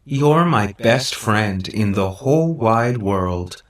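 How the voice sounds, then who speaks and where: A sweet, possessive robot girl from an audiobook, acted out by a male narrator. The voice is lifted and measured, full of syrupy affection, with a manipulative, clinging insistence in careful, precise phrases. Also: on the low side, animated, echoey room, recorded from a distance, voice pushed up. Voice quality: synthetic, affectionate tone.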